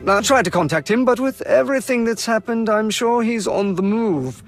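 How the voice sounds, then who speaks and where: An actor playing a stern, impatient military colonel in a video game, clipped and commanding. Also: male voice, high voice, animated, moderate pace, faint music behind. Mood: brisk, stern.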